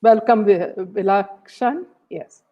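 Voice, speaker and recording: A woman host briskly welcomes each guest and prompts them to speak. Her voice sits at a middle pitch, and the call audio sounds a little muffled. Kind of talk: hosting an online protest call. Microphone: video call.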